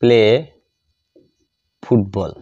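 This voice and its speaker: Male grammar tutor, mellow and unhurried, repeats himself deliberately; muffled low-grade recording. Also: steady tone, no room sound. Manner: deliberate, repeats phrases as he writes. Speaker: English grammar teacher. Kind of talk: slow lesson narrated while writing out examples.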